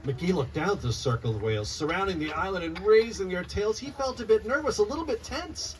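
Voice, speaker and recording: A man reading a rhyming picture book aloud to young listeners in an expressive, animated storytelling style. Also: high voice, brisk. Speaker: children's author reading aloud. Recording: noisy, distant mic, live room ambience.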